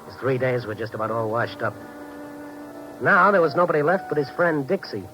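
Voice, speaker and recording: An actor playing an earnest, inquisitive hardboiled narrator-hero, punchy and wry, in a 1950s radio noir drama. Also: male voice, medium pitch, lively intonation, a little fast, bright, forceful tone, rough recording. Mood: tense, brooding. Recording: vintage 1950s radio with music.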